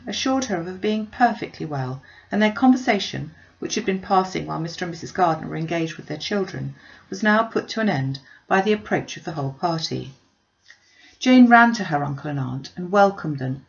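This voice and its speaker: Low-pitched woman reading a classic novel. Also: vocal fry, dull audio. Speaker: audiobook reader. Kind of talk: scripted narration of a classic novel.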